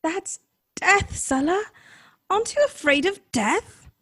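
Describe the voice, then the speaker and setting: A woman's voice: very high-pitched, lively intonation, slow pace, fairly bright tone, dry recording, studio quality, exaggerated voice. An actress playing an earnest, tender-hearted and naive young lady in an old-time radio drama, questioning and emotive in her delivery. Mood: tender, pleading. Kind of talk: live recreation of 1930s radio drama.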